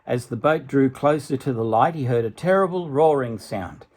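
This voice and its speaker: A man's voice: medium pitch, normal speed, darkish tone. An older man reading a children's story aloud expressively, dramatically, with asides to a young listener. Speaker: storybook reader.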